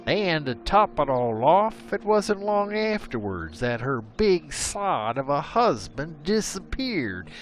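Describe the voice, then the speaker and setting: Slow folksy guy telling an old prairie legend, rustic phrasing, pitch goes up and down a lot. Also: a bit bright. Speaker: storyteller narrator. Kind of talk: folksy scripted narration of a frontier legend.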